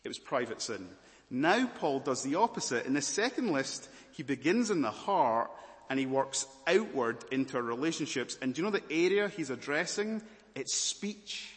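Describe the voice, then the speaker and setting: A man with a Scottish accent preaching a sermon, moving between hushed and loud emphatic passages. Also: medium pitch, sing-song, moderate pace, long reverb tail. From Malawi, raised as Scottish.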